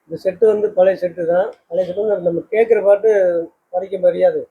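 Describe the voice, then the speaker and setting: A man with a husky voice, answering casually as a guest in plain words and repeated affirmations. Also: high-pitched, steady tone, fast talker. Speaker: customer and guest. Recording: dull lo-fi sound.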